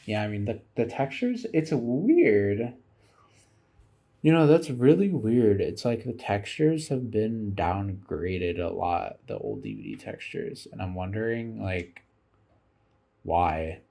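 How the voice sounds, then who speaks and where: A man's voice: lowish voice, big pitch swings, slow, creaky, warm tone. A tired man giving rambling, casual commentary over game footage, musing aloud as he goes and swearing casually.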